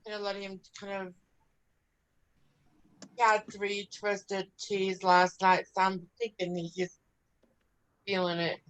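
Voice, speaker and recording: A woman's voice: medium pitch, completely flat delivery, a little slow, pauses often, clear, resonant tone, rough recording. A woman in her fifties in a casual group chat, talking in a chatty, self-deprecating way. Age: 50s.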